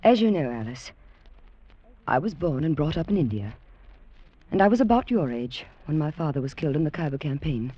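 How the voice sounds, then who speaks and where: An actress playing a genteel, gently firm middle-aged British mother in a refined, measured, soft-spoken manner, in 1940s radio drama style. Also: deep voice, sing-song, husky, no echo, exaggerated voice. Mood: hesitant, wistful. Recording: muffled vintage radio recording.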